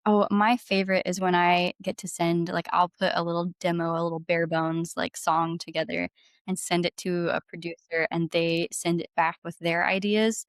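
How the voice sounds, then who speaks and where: A woman with a lowish voice talks as a guest in a casual interview. Her manner is enthusiastic and informal, peppered with "like". The sound is very dry, with no room echo. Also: flat delivery, moderate pace, smooth. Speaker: podcast guest, singer-songwriter.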